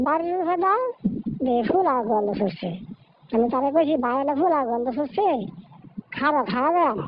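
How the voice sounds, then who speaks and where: Cartoon squabbling little bird boy, played by a woman: high, argumentative, rural Bangladeshi Bengali accent, muffled lo-fi sound. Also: natural voice. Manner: complaining, argumentative. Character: squabbling young bird brother, hot-tempered, whining. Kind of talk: cartoon voice acting. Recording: dull lo-fi audio with noise.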